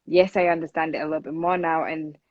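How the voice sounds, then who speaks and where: A loud, earnest woman in her twenties who talks in long, flowing runs on a podcast. The audio is dull and muffled, and the room sounds dry and close. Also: deep voice, little pitch movement, fast, no echo. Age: 20s. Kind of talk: casual conversation.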